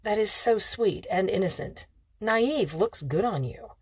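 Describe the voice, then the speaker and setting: A woman's voice: mid-range voice, animated, a little slow, darkish tone, breathy edge, no echo. A woman reading the part of a smug, teasing sixteen-year-old rich boy in a storybook, breezy and self-assured.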